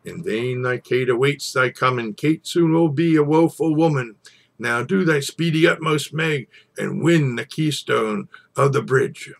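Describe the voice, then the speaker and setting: Slow, gravelly man reciting poetry dramatically. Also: medium pitch. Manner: theatrical, storytelling delivery with dramatic pauses and swells. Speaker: poetry reader. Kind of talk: dramatic recitation of an old Scots narrative poem.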